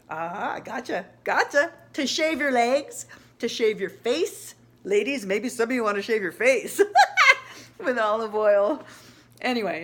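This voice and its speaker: A woman's voice: on the high side, fairly bright tone, a little hiss or hum. Chatty woman in her fifties, rambling. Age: 50s. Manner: chatty, rambling, laughs often. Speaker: home cooking vlogger. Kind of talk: casual cooking demo talk.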